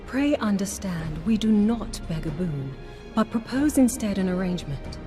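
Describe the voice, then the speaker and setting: A woman voicing a dignified, humble exiled noblewoman pleading for her people in measured, formal speech. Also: mid-range voice, lively intonation, music in the background, close to an everyday voice. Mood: solemn.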